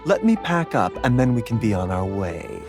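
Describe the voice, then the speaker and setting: A man voicing a gentle, courteous young doctor with a polite, lilting manner. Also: mid-range voice, sing-song, moderate pace. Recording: game audio with music.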